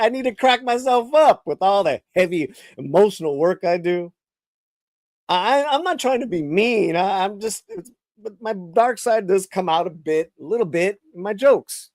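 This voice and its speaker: Man giving a lively dramatic reading. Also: high-pitched, no echo, clean studio sound. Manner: theatrical, swinging between hushed and emphatic with frequent restarts. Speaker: author reading his own novel. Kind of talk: dramatic live reading of first-person fiction.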